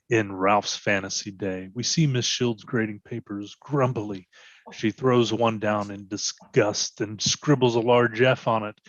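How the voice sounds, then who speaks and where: Male narrator for readers theatre stage directions, fairly deep, dull lo-fi sound. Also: no echo.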